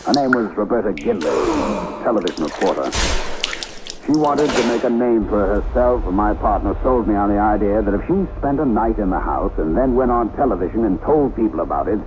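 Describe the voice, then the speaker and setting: Low, swooping voice of a nervous businessman acted. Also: lively intonation, fairly quick, lightly acted. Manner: agitated, stammering, emphatic. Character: nervous, harried businessman fearful of a haunted house. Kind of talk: radio drama acting. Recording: noisy old radio broadcast recording.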